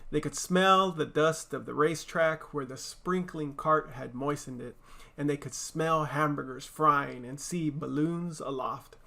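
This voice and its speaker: A man's voice: a little high, measured pace, vocal fry, pushed and bright. A man reading a children's story aloud as a teacher, with casual asides.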